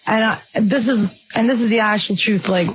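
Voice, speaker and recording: A woman's voice: mid-range voice, normal speed, breathy edge. Flustered woman guest, tinny sound. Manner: flustered, apologetic, giggly. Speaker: studio guest. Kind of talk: casual radio chat. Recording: tinny phone-like radio audio.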